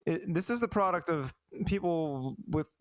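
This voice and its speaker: A man's voice: on the high side, lively intonation, normal speed. A man in a casual discussion as a project team member, phrasing his thoughts hesitantly. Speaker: fan translation and dub team member. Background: game music and sound effects.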